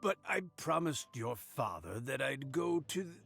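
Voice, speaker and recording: Creaky, kindly old man. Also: medium pitch, sing-song, music in the background. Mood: amused. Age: older. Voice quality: creaky, aged voice. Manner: halting, gentle, chuckling. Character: frail, kindly old man, wistful and chuckling. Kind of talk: video game cutscene acting.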